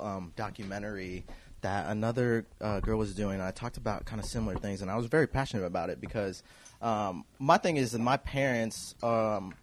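A man in his thirties from Kansas City, a guest telling his story in a relaxed, rambling way, full of fillers and restarts. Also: fairly low pitch. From Kansas City, USA. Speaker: guest, clothing designer. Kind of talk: casual podcast interview.

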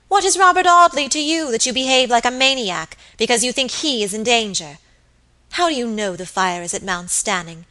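A woman's voice: medium pitch, fairly bright tone, natural voice. A woman voicing a haughty lady in an audiobook, cold, hard and sternly contemptuous. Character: cold, haughty, fierce lady scolding her maid.

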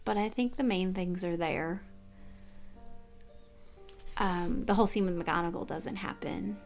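A woman's voice: fairly low pitch, unhurried, faint music behind. A woman co-hosting a podcast, chatting casually and finishing her partner's sentences. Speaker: podcast co-host. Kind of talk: casual chat.

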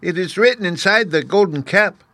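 Man doing a squeaky high little mouse queen. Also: expressive, normal speed, bright, forceful tone, no room sound, heavily acted. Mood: helpful, brisk.

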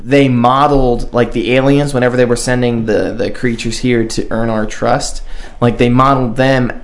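A man's voice: mid-range voice, steady tone, moderate pace. Insistent man in casual comic debate. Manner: opinionated, insistent, mock-serious. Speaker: podcast co-host.

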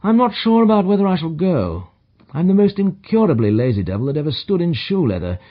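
Male narrator as superior gentleman detective, audiobook dialogue. Emphatic, dry, highly animated, slightly husky. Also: fairly quick, lo-fi sound. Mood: languid, dismissive. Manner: emphatic, clipped pronouncements with dry condescension. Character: brisk, self-assured detective, languid yet energetic and superior.

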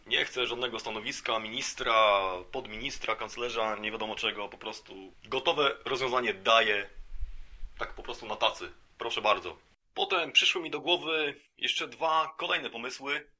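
Guy doing a satirical podcast rant like a fake lecture, really sharp punchy voice, rambly, mock serious. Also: medium pitch. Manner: mock-serious, rambling, conversational with rhetorical tag questions. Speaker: podcast host.

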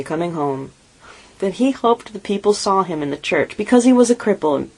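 Woman doing a humble, gentle father; soft, tremulous, husky. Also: lowish voice, low-quality recording. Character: gentle, humble poor father, tender-hearted.

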